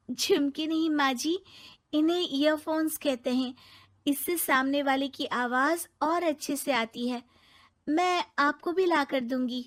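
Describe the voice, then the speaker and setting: A woman's voice: high-pitched, slow pace, fairly bright tone. A woman playing a polite, eager young modern daughter-in-law from the city in a cartoon story, earnest and explanatory. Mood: cheerful, polite. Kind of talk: animated moral-story cartoon voice acting.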